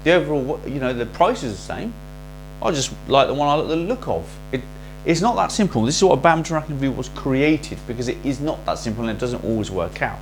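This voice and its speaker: A man hosting a casual product review in a chatty, salesy way with quick asides, his voice a little worn from recording many videos. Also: mid-range voice, lively intonation, poor audio. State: losing his voice. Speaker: racket reviewer and shop host. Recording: faint mains hum.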